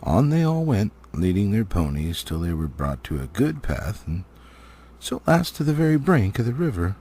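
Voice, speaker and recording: A man reading a fantasy storybook aloud in an animated, expressive way, stumbling now and then. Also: lowish voice, normal speed, husky. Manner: animated, slightly stumbling cold read. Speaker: amateur audiobook reader.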